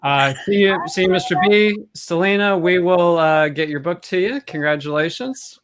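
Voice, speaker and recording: A man's voice: high-pitched, moderate pace, a bit bright, clear, resonant tone, dry recording. A man hosting a virtual game show live over a video call in a casual, off-the-cuff way.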